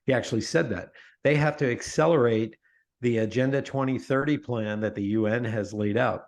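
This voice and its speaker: Middle aged guy talking on a show, keeps restarting, all fired up, normal pitch. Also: normal speed. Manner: animated, emphatic, many restarts. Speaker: podcast guest, author. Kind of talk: casual interview talk.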